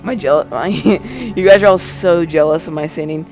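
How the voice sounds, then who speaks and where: Young male let's-player, high voice, crude jokes, headset mic. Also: normal speed, over music. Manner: excitable, crude, joking. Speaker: gaming video host. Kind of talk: casual let's play commentary. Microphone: gaming headset.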